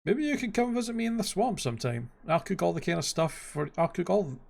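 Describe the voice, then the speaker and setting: A man plays an awkward ogre in a cartoon fairy tale. The voice is creaky and fairly high for a big brute, halting and bashful, often correcting himself. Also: sing-song, darkish tone, exaggerated voice. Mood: hopeful. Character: gruff awkward male ogre, shy and defensive.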